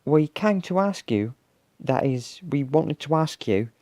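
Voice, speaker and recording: A narrator voicing a small, polite, squeaky animal in a storybook. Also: expressive, a little slow, a little mellow. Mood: hesitant.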